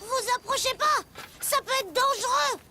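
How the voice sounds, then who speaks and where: A woman voicing an excitable, imaginative little child with a dramatic, exclamatory delivery in a children's cartoon. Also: extremely high pitch, normal speed, a little hiss or hum, exaggerated voice. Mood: alarmed, excited. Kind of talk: dubbed children's cartoon acting.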